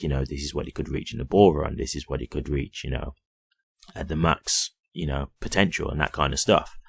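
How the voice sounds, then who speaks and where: A man's voice: rumbling low, creaky, husky, no echo, clean studio sound. A man giving casual, unscripted commentary straight to his viewers, conversational and often checking in with a questioning tag. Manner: conversational, repeatedly checks in with "right?". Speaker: crypto YouTuber.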